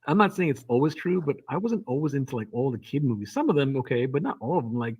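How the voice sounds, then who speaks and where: A man's voice: medium pitch, sing-song, fast talker, darkish tone, slightly rough, no room sound. A man hosting a call-in live stream, arguing in a casual way and repeating himself, often saying "man". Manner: argumentative, repeats words and says "man" a lot. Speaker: livestream host.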